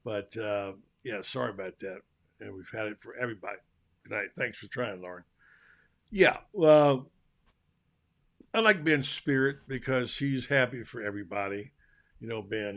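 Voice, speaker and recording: An older man hosts a live talk show, chatting in a folksy, easygoing way. His voice is gravelly and bright, and he calls out loudly to guests who can't hear him. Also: fairly low pitch, moderate pace, pauses often. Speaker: host. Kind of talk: casual live show chat.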